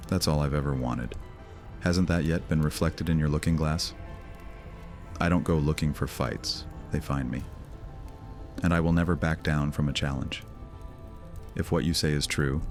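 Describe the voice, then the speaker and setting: A man playing a brooding, defiant outcast vampire in a fantasy audio drama, with a sardonic way of talking. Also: a bass voice. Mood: bitter, brooding. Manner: sardonic, hesitant fillers turning defiant. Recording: music under the voice.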